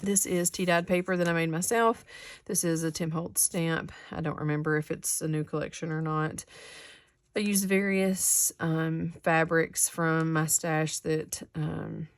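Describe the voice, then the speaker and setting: A woman with a head cold talks in a low, gravelly, fairly flat voice, a little sniffly. She rambles casually through her handmade crafts with plenty of ums and restarts. The sound is close and dry, with no room echo. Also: unhurried. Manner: relaxed, rambling, many ums and self-corrections. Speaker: craft channel host. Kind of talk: casual show-and-tell of handmade journals. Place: craft table indoors, hands-on demo.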